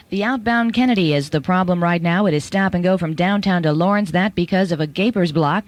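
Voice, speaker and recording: An American woman reporting traffic and weather on the radio in a brisk bulletin style. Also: low-pitched, darkish tone.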